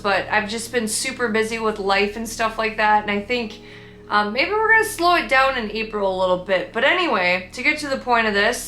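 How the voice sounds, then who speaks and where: Chatty Minnesotan woman, bright echoey room. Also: fairly high pitch, a little hiss or hum. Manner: chatty, rambling, upbeat, with frequent fillers and restarts. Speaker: artist vlogger. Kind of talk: casual vlog about her painting.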